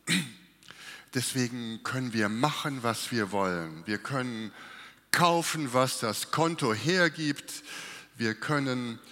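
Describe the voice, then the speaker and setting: A man preaching a sermon with a cold, speaking slowly and reflectively with pauses. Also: mid-range voice, fairly bright tone. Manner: slow, reflective, with pauses and restarts. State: has a cold, coughing. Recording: long hall reverb.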